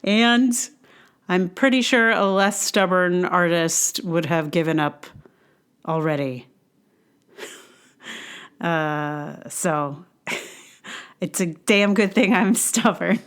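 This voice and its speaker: A woman's voice: lowish voice, slow, long pauses, a bit bright, clear, resonant tone. A middle-aged woman hosting a podcast alone, musing casually in a hesitant, rambling way with self-deprecating humor. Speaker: podcast host, playwright and actor. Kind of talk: casual reflective solo podcast monologue.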